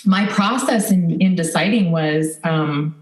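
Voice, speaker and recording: Podcast guest: American woman, slightly low pitch, informal and earnest advocate, echoey recording. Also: a little slow. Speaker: podcast guest, political advocate and former candidate. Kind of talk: conversational interview. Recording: echoey room sound.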